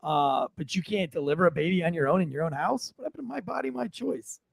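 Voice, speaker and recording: Gravelly, high-pitched American man hosting a show. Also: animated, fast, no room sound. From United States. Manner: rambling, joking, profane. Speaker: podcast host. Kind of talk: casual livestream talk show chat.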